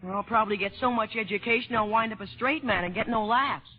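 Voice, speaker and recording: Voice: mid-range voice, fast, close to an everyday voice. An actor playing a cocky, wisecracking child of vaudeville performers in an old-time radio comedy drama. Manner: brash, quippy, precocious. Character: wisecracking young show-business kid, cocky son of vaudevillians. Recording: muffled old radio broadcast recording.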